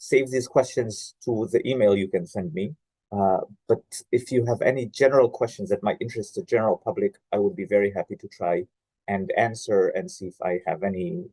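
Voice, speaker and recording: A man's voice: lowish voice, mellow, slightly rough, no echo, low-quality recording. Hesitant Israeli-born man presenting online. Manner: hesitant, many "uh" fillers and restarts. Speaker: genealogy researcher presenting a webinar. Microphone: video call.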